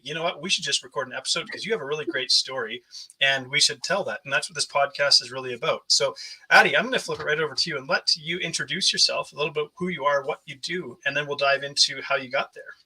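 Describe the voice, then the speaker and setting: A man hosts a casual podcast chat and rambles through his questions. His speech comes fast and packed with fillers and restarts. His tone is very bright and edged with a husky grain. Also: fairly high pitch, poor audio. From Canada. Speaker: podcast host.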